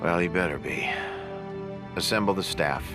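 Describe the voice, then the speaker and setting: An actor playing a grave, demanding US President in a video game, stern and authoritative. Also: male voice, sits low, moderate pace, close to an everyday voice. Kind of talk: video game cutscene acting. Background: faint music.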